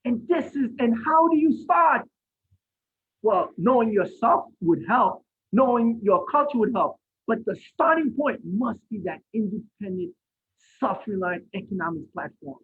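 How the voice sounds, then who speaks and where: A Haitian-born man giving an impassioned lecture over a video call, asking rhetorical questions and rising to near shouts. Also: sits high, animated, a little slow, slightly rough. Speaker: guest speaker. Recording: phone-like line from an online event.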